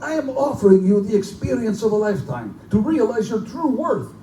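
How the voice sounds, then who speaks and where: Man playing a mellow, menacing aristocratic general. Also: high voice, big pitch swings, a little fast. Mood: irritated, then excited. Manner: urbane, pompous, condescending. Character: genteel, menacing aristocratic general who hunts men for sport. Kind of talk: live theatrical horror drama. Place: live performance in a bookstore. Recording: distant, echoey live room recording with noise.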